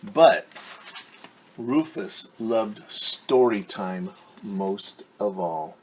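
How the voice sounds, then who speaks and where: A man's voice: fairly low pitch, animated, drawn-out words, a little hiss or hum, poor audio. A man teaching young children at story time, reading aloud and explaining in simple, encouraging words with questions to his listeners.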